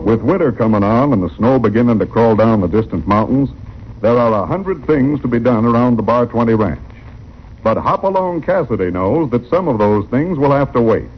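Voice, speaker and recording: Male American announcer, dramatic vintage radio narration, medium pitch, theatrical, dulled old recording. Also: slight background noise. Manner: grand, theatrical delivery. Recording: muffled old radio broadcast. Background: music.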